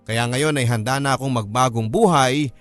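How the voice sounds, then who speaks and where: Music plays clearly under a Filipino man's dramatic radio narration. The pitch sits mid-range as he voices a remorseful grown child recounts a family story in the first person. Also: normal speed, lightly acted. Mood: grateful. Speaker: story narrator reading a letter.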